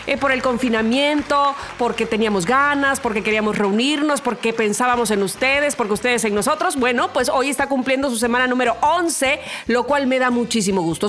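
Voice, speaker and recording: A woman's voice: fairly high pitch, brisk, fairly bright tone. A woman from Mexico, hosting a podcast in a chatty, cheerful manner. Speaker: podcast host. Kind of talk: casual chat.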